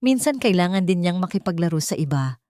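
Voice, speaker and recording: A woman's voice: fairly low pitch, big pitch swings, fairly quick, warm tone, no echo. A woman storyteller voicing an uneasy husband who soothes falsely and evasively in a dramatic story. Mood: placating.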